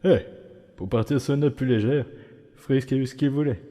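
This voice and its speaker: A man voicing a weary, dry, guarded skeleton in a comic dub, speaking in a laconic, solemn and measured way. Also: medium pitch, mellow, close to an everyday voice. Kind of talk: fan comic dub acting. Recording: long hall reverb, music underneath.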